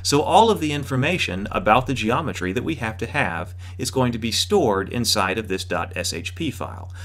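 A man's voice: medium pitch, expressive, normal speed, fairly bright tone. A man in his thirties giving an explanatory video lecture as an instructor, emphatically restating and rephrasing his points for clarity.

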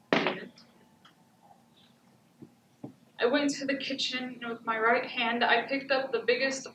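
A young woman playing a wounded but resolute survivor of domestic abuse in a dramatic stage monologue, with deliberate, declamatory phrasing. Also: on the high side, fairly bright tone. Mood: tense, controlled. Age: young adult. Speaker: high school stage actor. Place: indoor theatre stage, far camera. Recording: echoey theatre, distant mic, faint noise.

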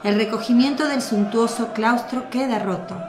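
Woman narrator for a radio drama, higher voice, echoey room with faint hiss. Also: normal speed.

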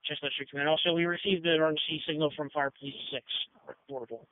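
A man dispatches fire units at a rapid clip, in slightly raised, procedural radio phrasing. The sound is dry and thin, as heard through a scanner's narrow band. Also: fairly high pitch, fairly even intonation, dry recording. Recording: phone-like dispatch radio audio.

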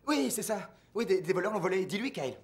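An actor with a French accent playing an awkward, eager young student in a dubbed comedy film. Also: male voice, sits high, expressive, fast, husky, heavily acted. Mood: urgent, bluffing. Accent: French (France). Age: young adult.

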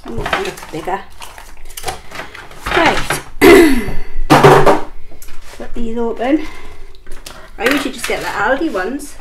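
A woman's voice: fairly high pitch, lively intonation, slow pace, pauses often, heavy background noise. A young woman with an English accent, chatting through a home cooking and eating vlog, a little sniffly from a cold. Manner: chatty, talks while eating. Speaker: mukbang vlogger. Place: home kitchen.

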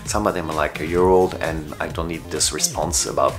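A man in his forties with a low voice talks casually to camera in non-native English. He is chatty and leans on key phrases, like a travel vlogger sharing tips. Music plays clearly behind him. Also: steady tone, a little fast. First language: not English. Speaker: travel vlogger and flight attendant. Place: bedroom, indoors. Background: background music.

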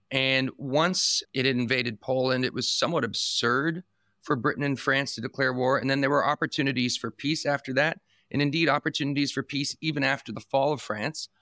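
A male podcast host laying out an argument at length in a measured way, often restarting his words. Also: mid-range voice, pushed and bright, no echo, clean studio sound. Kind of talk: podcast interview.

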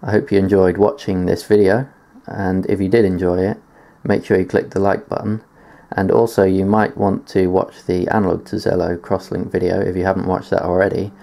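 A man's voice: low voice, little pitch movement, brisk, creaky, dark tone. A man giving a casual tech demonstration in a matter-of-fact, explanatory way, presenting gear on video indoors. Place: indoors at a desk.

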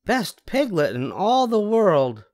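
Man voicing a loving old granny pig, high-pitched. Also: wildly swooping pitch, slow, darkish tone, dry recording, studio quality, exaggerated voice. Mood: tender.

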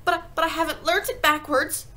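A woman puts on a frightened young schoolboy for a storybook. The voice jumps up high and bright, pleading and flustered, liable to stammer as it protests. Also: fairly even intonation, a little fast. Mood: bewildered. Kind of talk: audiobook character voice.

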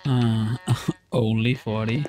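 Casual streamer, man in his 40s, unhurried and playful, headset, faint game music behind. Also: lowish voice, level tone. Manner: chatty, playful, talks to chat while playing. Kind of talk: casual live stream chat. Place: small room at a desk.

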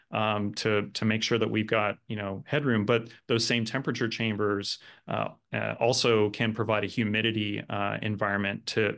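Low, creaky-voiced man explaining. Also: fairly bright tone. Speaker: company representative answering questions. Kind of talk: webinar question-and-answer talk.

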